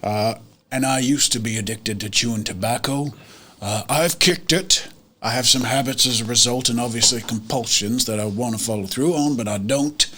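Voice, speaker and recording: A man's voice: medium pitch, normal speed, pushed and bright. A man casually talking as a player and host of a tabletop role-playing game. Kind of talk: casual character introduction.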